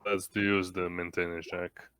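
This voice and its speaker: A man's voice: sits low, flat delivery, a little slow, fairly bright tone, smooth, no room sound. A man talking casually and bluntly as a player in an online tabletop game. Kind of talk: casual chat, blunt swearing.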